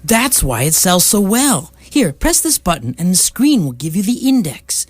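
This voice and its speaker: Radio comedy: seasoned space traveller female actor, unflappable explainer, animated intonation, dry recording. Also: on the low side, moderate pace, dry recording. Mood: breezy, explaining. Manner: matter-of-fact, explanatory. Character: breezy, unflappable seasoned galactic hitchhiker and guide researcher.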